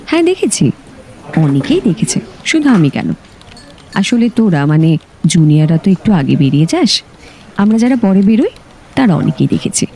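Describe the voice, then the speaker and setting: A woman's voice: lowish voice, sing-song, moderate pace, pauses often, dark tone, a little hiss or hum, low-quality recording. A woman playing a chatty, nosy senior office colleague in an audio drama, with a teasing, gossipy manner. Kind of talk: audio story acting.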